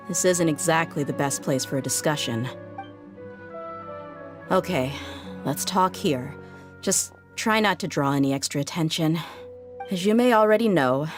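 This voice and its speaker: A low-pitched woman mercenary from a fantasy game, played by an actress, blunt and weary of a hard life. She talks casually with a wry, colloquial edge. Music plays clearly behind her voice. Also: lightly acted. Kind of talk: video game voice acting.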